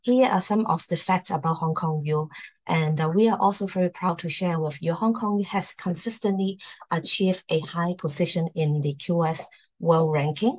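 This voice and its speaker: A woman's voice: on the low side, normal speed, darkish tone, low-quality recording. A woman hosting and presenting in a formal slide talk over a video call. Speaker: host, university admissions presenter.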